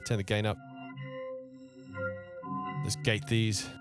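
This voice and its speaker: A man giving a casual software tutorial on music production, thinking aloud in short remarks as he works. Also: on the low side, level tone, takes it slowly. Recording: electronic music playing along with the voice. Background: electronic music, drums and synth tones.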